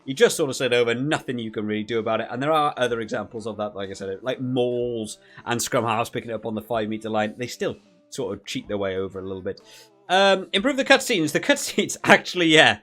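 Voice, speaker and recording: A man giving casual, unscripted review commentary as a gaming video creator, thinking aloud and softening his opinions in a conversational way. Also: mid-range voice, expressive, fast, soft background music.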